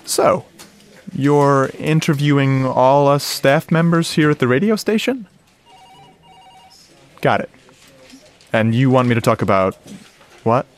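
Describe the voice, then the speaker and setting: A man's voice: mid-range voice, animated, slow pace, pauses often. A man acting in a radio drama as an enthusiastic sound-effects guy, explaining things conversationally with questions to the listener. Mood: casual, eager.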